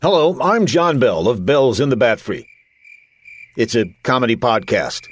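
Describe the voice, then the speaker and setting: A man's voice: medium pitch, very animated, measured pace. A man hosting a scripted comedy podcast, bantering and making announcements.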